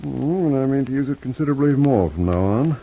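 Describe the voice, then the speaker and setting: An actor playing a smooth, condescending rich estate owner with an American accent in a 1950s radio soap opera. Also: male voice, mid-range voice, expressive, quick pace. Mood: condescending, needling. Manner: suave, evasive, patronizing. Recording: muffled old radio recording.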